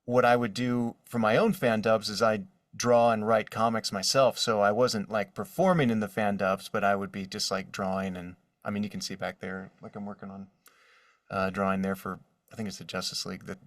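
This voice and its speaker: A man's voice: fairly low pitch, flat delivery, moderate pace, studio quality. A man chatting casually as an interview guest, answering questions about his working life as a voice actor. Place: indoor room with drawings behind him. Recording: roomy, distant mic.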